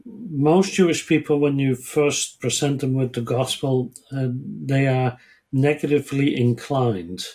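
A man of about sixty with a husky, slightly breathy voice. He speaks as an interview guest, earnest and measured, pausing now and then to find his words. His voice sits at a middling pitch. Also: a little mellow. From Netherlands. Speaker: guest, ministry worker and lecturer. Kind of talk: interview answers, personal storytelling.

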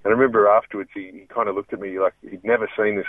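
A low-voiced Australian man talks earnestly about his life as a guest, stopping and restarting as he goes. He comes through a tinny, narrow phone line with a dry, close sound. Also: steady tone, no echo. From Melbourne, Australia. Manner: earnest, halting, many restarts. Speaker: guest comedian and impressionist. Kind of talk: casual interview.

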